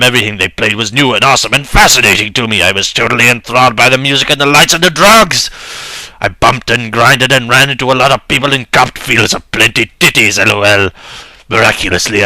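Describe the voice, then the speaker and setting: Low, bright-toned man reading dramatically. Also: dry recording. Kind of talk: dramatic reading of a first-person story.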